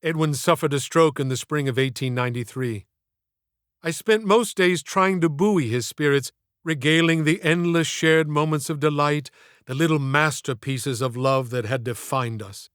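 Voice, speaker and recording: A man's voice: on the high side, moderate pace, a bit bright, smooth, no room sound, clean studio sound. A man reading scripted audiobook narration in an earnest, literary, theatrical manner.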